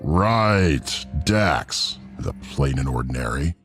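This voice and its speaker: Deep, gravelly, sneering stone troll. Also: male voice, expressive, takes it slowly, a bit bright, soft background music, heavily acted. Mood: defensive, sneering. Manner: sneering, mocking. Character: sneering, mocking male stone troll, rough and insolent. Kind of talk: scripted audio drama.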